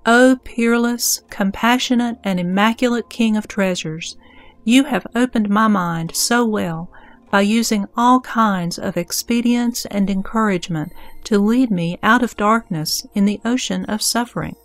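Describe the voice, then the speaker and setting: A woman playing a reverent male disciple pleading with his master in a scripture audio drama, formal and measured. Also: mid-range voice, no room sound, natural voice. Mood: devout pleading. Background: music.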